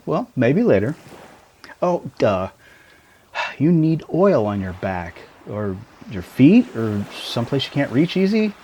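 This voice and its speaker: Man acting as a playful tiny boyfriend, doting, teasing, very animated pitch, unhurried, intimate ASMR roleplay, faint background noise. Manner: playful, teasing, affectionate, theatrical exclamations.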